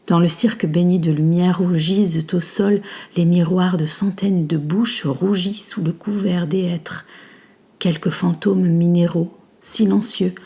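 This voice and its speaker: A woman reciting poetry in a hushed, breathy manner that often slips toward a whisper, with deliberate, measured phrasing and pauses. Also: fairly low pitch. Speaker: poet reciting an ecological poem. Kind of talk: slow poetry recitation in literary French.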